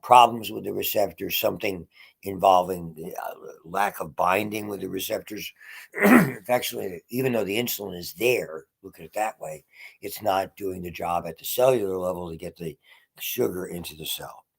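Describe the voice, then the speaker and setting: A man giving a physiology lecture from home, congested and often clearing his throat, with halting, restarting phrasing. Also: low-pitched. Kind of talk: unscripted lecture.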